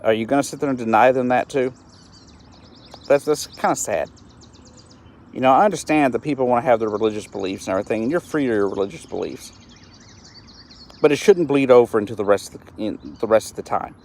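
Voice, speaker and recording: A man's voice: medium pitch, a little fast, long pauses, darkish tone. A man, hosting a solo live stream and talking earnestly and with frustration, often stopping to restart his words. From East Texas, US. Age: 30s. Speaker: host of a live-stream show.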